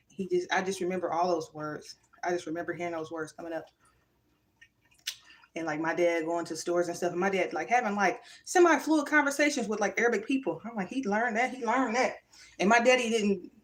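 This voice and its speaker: A woman, talking casually on her own livestream. She speaks in an animated, emphatic way and moves between thoughtful musing and preachy encouragement. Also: on the low side. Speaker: livestream vlogger. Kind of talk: casual spiritual livestream monologue. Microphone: phone or webcam livestream.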